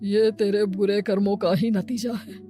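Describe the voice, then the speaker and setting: A woman voicing a stern, indignant grandmother, reproachful and scolding in an animated moral story. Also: medium pitch, normal speed, soft background music, lightly acted.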